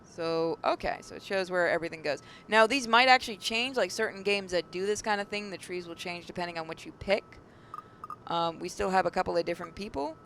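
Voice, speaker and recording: A gaming host with a creaky, mid-pitched voice reads visual novel text aloud and chats about it. Words occasionally trip and get repeated. The recording carries a noticeable rumbling noise. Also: a little fast, a bit bright. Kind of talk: reading narration aloud, with casual commentary. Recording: clearly noisy mic with low rumble.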